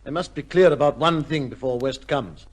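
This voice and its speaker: An actor playing a shrewd, measured gentleman adviser in an old radio drama, speaking formally and firmly. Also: mid-range voice, natural voice. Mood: firm, probing. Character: cool, shrewd gentleman adviser to an accused judge.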